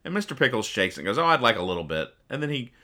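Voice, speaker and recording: A man's voice: mid-range voice, very animated, very fast, pushed and bright. A man hosting a comedy podcast, casually reading out and explaining comics in a rambling, mock-earnest way. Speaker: podcast host.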